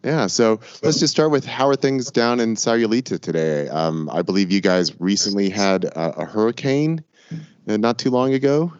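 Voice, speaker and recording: A congested man hosts his podcast in a loose, rambling manner, full of fillers. His voice sits very low, and the sound is close and dry, with no room around it. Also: moderate pace, smooth, no room sound, highs cut off. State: congested from a cold. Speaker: podcast host. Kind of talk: casual podcast talk.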